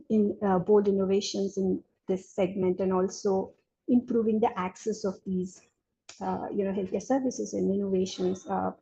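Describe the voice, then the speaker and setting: A woman's voice: medium pitch, vocal fry, mellow, husky. A woman giving a webinar presentation over a video call, hesitant and full of fillers. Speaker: incubator speaker. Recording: dull lo-fi call audio.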